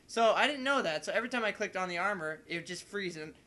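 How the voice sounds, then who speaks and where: A young man in casual, rambling podcast chat with friends, telling stories in an excitable, giggly way and acting out what people said. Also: sits high, fast, a little hiss or hum. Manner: excitable, giggly storytelling with acted-out quotes. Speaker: podcast host and video maker.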